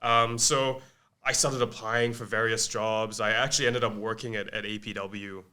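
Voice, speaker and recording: Bright-voiced young man, English accent. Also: lowish voice, flat delivery, normal speed. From Malaysia. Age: 20s. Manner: chatty, self-deprecating, frequent ums and restarts. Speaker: guest, agency co-founder. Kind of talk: casual podcast interview.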